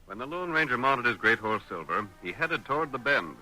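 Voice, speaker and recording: A man's voice: mid-range voice, sing-song, a little fast, sharp tone, soft background music, low-quality recording. A man with an American accent narrating an old-time radio western in a dramatic, scripted storytelling manner.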